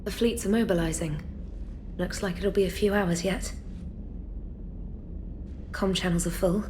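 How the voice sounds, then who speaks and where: A woman playing a soft-spoken, intimate companion to a commander in a video game. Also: lowish voice, fairly even intonation, a little slow, slight background noise, close to an everyday voice. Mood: tender, flirtatious.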